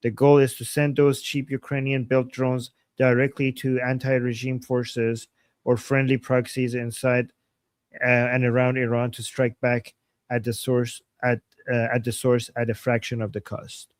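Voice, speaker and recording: A man co-hosting a live stream, laying out a proposal step by step in a measured, explanatory way. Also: mid-range voice, little pitch movement, no echo. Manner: explains points methodically, brief acknowledgements. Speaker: co-host. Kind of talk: casual live-stream chat.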